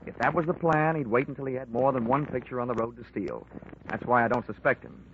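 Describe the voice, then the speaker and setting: A man's voice: mid-range voice, fast talker, slight background noise, lightly acted. An actor playing a hard-boiled male insurance investigator who narrates his own case in a 1940s radio drama, dry and wisecracking. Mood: wry, sardonic. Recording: muffled old radio broadcast with music.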